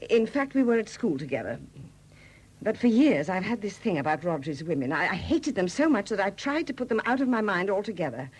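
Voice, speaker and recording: An actress playing a weary, evasive, emotionally numb widow under suspicion in a 1970s radio drama, speaking in a guarded, defensive way. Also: female voice, mid-range voice, very animated, brisk, husky. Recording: dated, faintly noisy 1970s radio recording.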